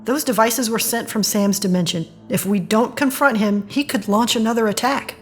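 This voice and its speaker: A woman's voice: on the high side, moderate pace, natural voice. Woman playing a wry, wary teammate over faint music. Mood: wry, resigned. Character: wary but resigned female team member. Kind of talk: audio drama acting.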